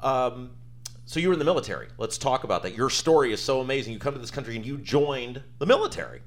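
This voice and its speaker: Man, podcast host, friendly and chatty, very animated pitch. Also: quick pace. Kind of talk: interview conversation.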